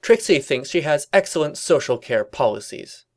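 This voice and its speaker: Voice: medium pitch, expressive, normal speed, a little mellow, voice pushed up, close to an everyday voice. A performer voicing a haughty, smug female cartoon pony with a dramatic manner in a story reading.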